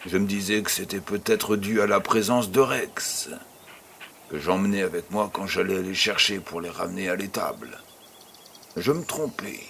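Man playing a low-voiced kindly old farmer grandfather. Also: creaky, a bit bright, a little hiss or hum. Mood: storytelling. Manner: affectionate, teasing, unhurried storyteller. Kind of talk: French-language audio drama acting.

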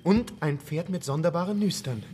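An actor playing an eager, excitable helper searching old books in a radio drama. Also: male voice, on the high side, moderate pace, lightly acted. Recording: clear background ambience noise.

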